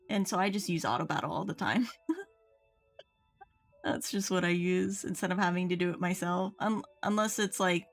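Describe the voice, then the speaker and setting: A woman's voice: lowish voice, gravelly, fairly bright tone. Casual woman game streamer over faint music. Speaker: game livestreamer. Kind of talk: casual chat while playing, reading viewers' comments.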